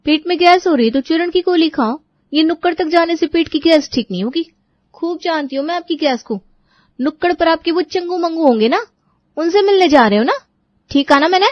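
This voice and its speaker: A woman's voice: very high voice, animated, a little fast, no echo, highs cut off, voice pushed up. A woman voicing a bossy, suspicious young wife who scolds and insists in a comic audio story. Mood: suspicious scolding.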